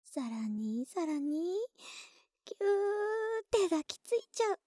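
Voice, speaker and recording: A woman playing an affectionate, slightly clumsy young neighbor with a big-sister air in an ASMR voice drama, speaking softly and intimately, often in a whisper. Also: very high-pitched, sing-song, takes it slowly, no echo, clean studio sound, full character voice. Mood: playful, teasing. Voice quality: breathy. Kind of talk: Japanese ASMR situation voice drama.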